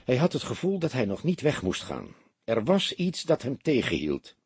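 A male audiobook narrator reads a story expressively. His voice is very husky and moves with animated pitch, recorded dry and close. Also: no room sound.

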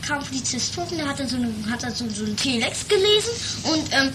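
Woman playing a high-voiced, offhand young rogue. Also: sing-song, fast talker, noisy background. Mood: matter-of-fact. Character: male character, cheeky naive rogue in comic capers. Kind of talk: improvised amateur audio drama.

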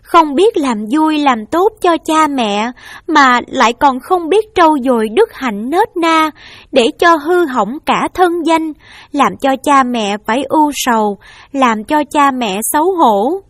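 A woman's voice: high voice, lively intonation, takes it slowly, no room sound, studio quality, voice pushed up. A woman doing a sobbing remorseful young daughter. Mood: anguished pleading. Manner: tearful pleading. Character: young daughter, remorseful, begging her parents.

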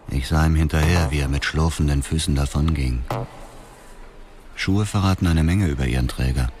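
A man's voice: a bass voice, normal speed. A man acting in a radio drama as a bitter, self-righteous widower turned killer, cold, defiant and matter-of-fact. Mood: observing, detached. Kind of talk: radio drama acting and first-person narration.

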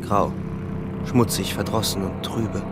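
An actor playing a weary, sensitive young soldier, narrating introspectively in a subdued, terse way in a German radio drama. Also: male voice, sits low, level tone, takes it slowly, very noisy. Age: young adult. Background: music.

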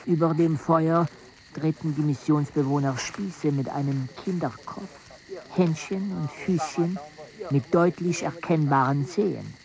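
An actor playing a jungle explorer's companion who narrates a radio play in a measured, literary way. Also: male voice, on the high side, vocal fry, darkish tone, low-quality recording. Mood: grave. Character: male expedition companion narrating a grim jungle journey.

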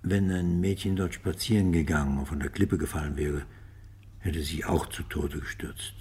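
Husky, low-voiced homicide inspector. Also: male voice, fairly even intonation, moderate pace, darkish tone, exaggerated voice. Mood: matter-of-fact. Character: gruff, skeptical male police homicide inspector. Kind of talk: German radio crime drama acting.

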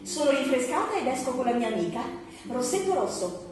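Woman acting a frantic high-voiced daughter, echoey hall. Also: sing-song, a little mellow. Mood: forcedly upbeat. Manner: rapid listing, repetitive, agitated. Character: frantic jobless daughter, forcedly cheerful, pill-popping, exasperated. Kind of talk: surreal satirical stage monologue and dialogue. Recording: distant echoey hall, faint noise.

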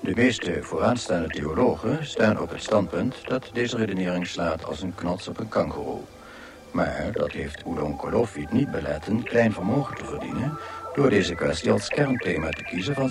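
An actor in a radio drama playing a dry, learned guidebook narrator, delivering scripted expository narration. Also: male voice, low-pitched, lively intonation, normal speed.

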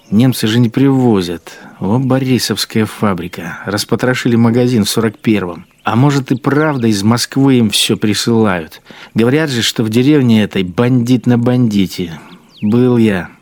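An actor playing a bitter, spiteful wartime machine-gunner in a radio drama, grumbling with sardonic scorn. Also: male voice, fairly low pitch, dry recording. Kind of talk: radio drama interior monologue.

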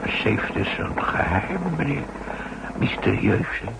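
A man playing a courteous, halting safecracker who considers himself an artist, in an old radio play. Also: fairly low pitch, very animated, vocal fry, full character voice. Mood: wistful, proud. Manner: deferential, hesitant, halting with verbal tags. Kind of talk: 1960s Dutch radio play acting. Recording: noisy old radio drama recording.

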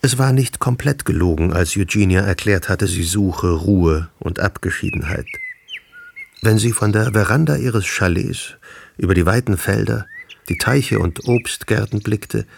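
An actor narrating a literary period radio drama as a composed storyteller. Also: male voice, lowish voice, unhurried, vocal fry, slight background noise. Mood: measured narration. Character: composed male storyteller of a period family novel.

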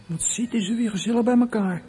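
A frightened boy in a radio drama. The voice is husky and halting, stumbling over words. He sounds like a hurt outcast, quick to defend himself. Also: mid-range voice, mellow, faint music behind. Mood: sullen, bitter. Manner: halting, stammering, emotional. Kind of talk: radio play acting.

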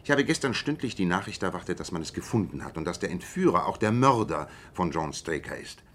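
Radio-drama detective: low-pitched, analytical, articulate and sure of himself, over audible noise. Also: male voice, big pitch swings, brisk, a bit bright. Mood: assured, explanatory. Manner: precise, articulate, lecturing. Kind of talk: German radio play acting.

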